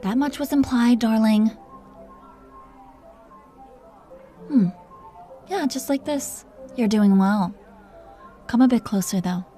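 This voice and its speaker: A woman's voice: on the high side, measured pace, warm tone, soft background music, lightly acted. A woman voicing a seductive, possessive dragon queen in an intimate romantic roleplay audio, teasing and commanding as she flirts with pet names. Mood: teasing, reassuring.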